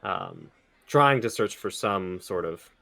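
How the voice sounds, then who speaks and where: A man's voice: on the low side, lively intonation, slow pace, vocal fry, a bit bright. A man describing his character's actions in an improvised tabletop roleplaying game, hesitant and searching for words.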